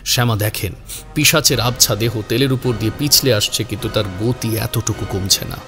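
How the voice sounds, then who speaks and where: A man's voice: medium pitch, normal speed, a bit bright, faint music behind. A man narrating a scripted horror story in a dramatic style.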